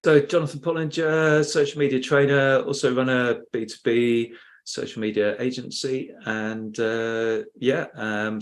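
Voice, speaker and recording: A man with a creaky, gravelly voice of middling pitch gives a casual self-introduction. He speaks as a guest trainer describing his services. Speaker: social media trainer, guest.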